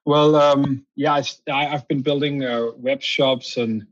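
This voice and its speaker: A man's voice: medium pitch, fairly even intonation, a little slow, highs cut off. A man with a Danish accent, a guest on a podcast interview, explaining at length and often restarting his sentences. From Denmark. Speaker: guest, company founder.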